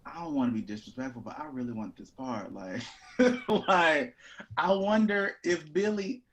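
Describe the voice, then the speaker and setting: A man in his twenties, an actor, talking casually in an animated, playful and informal way. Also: on the high side, moderate pace, slight background noise. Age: 20s. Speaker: actor and theater artist, guest. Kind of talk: casual conversation.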